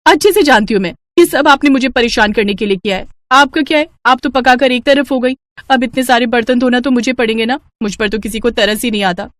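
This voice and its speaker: A woman's voice: fairly high pitch, brisk, hardly any pauses, a bit bright, no room sound, lightly acted. A woman voicing a lazy, whining, defiant young daughter-in-law in a cartoon family story. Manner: whiny, complaining.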